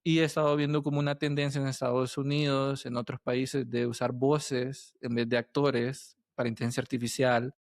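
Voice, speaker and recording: A man with a Latin American Spanish accent that uses vos, hosting a casual interview and thinking aloud in a halting way. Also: mid-range voice, dry recording, clean studio sound. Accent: Latin American Spanish with voseo. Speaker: podcast host and interviewer.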